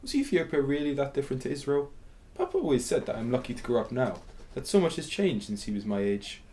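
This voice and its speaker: Curious grandkid done by a guy, fast talking, mellow tone, radio play. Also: mid-range voice, big pitch swings, a little hiss or hum, natural voice. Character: curious young grandson questioning his grandmother. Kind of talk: radio drama acting.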